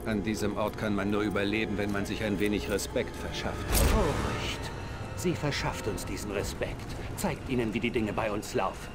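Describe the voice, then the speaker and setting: An actor voicing a scarred male crime boss with a split personality, menacing and talking of himself as "we", over a loud score. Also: lowish voice, normal speed, loud music behind the voice, natural voice. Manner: menacing, growling, talks as "we". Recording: game audio with loud score behind.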